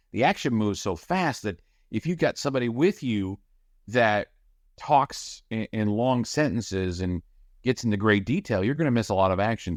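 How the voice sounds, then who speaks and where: A man's voice: on the low side, no echo, clean studio sound. A man, a radio play-by-play announcer, talking energetically in a casual podcast chat. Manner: energetic, explanatory, rapid description.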